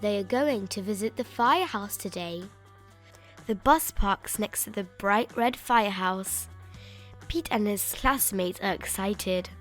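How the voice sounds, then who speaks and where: A woman reading a children's picture book aloud in an expressive, emphatic way, stretching out key words. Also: mid-range voice, unhurried. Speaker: children's story reader. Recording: music bed behind the voice.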